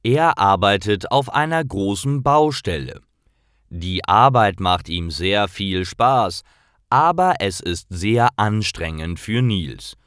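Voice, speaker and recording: A man narrating a simple story for language learners in a deliberate, carefully enunciated scripted style. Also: fairly low pitch, lively intonation, fairly bright tone, smooth, no echo, clean studio sound. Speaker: narrator of German listening lessons for learners. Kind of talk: slow, clear scripted story narration for beginners.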